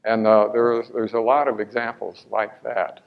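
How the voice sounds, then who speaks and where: Older man, lecturer, halting delivery, dull lo-fi sound, lapel mic. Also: lowish voice, level tone, creaky, clean tone. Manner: hesitant, many fillers and restarts. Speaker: lecturer answering audience questions. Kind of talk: Q&A after a lecture. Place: large hall with stage.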